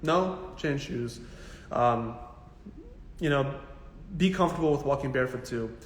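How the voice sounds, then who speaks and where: A man giving casual, informal health advice as a physical therapist answering questions, in a blunt, jokey, animated way with emphatic repetition. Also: mid-range voice, a little slow, slight background noise. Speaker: physical therapist hosting a live Q&A. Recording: echoey, reverberant room.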